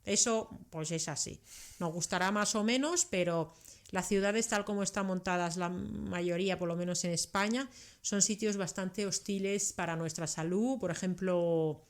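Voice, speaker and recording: A middle-aged woman, a native speaker of Finnish, talks as an expert guest in a conversational interview. Her voice sits a little low, and she explains discursively, often adding small tag questions. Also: moderate pace. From Karelia, southeastern Finland. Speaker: interview guest, doctor and science communicator.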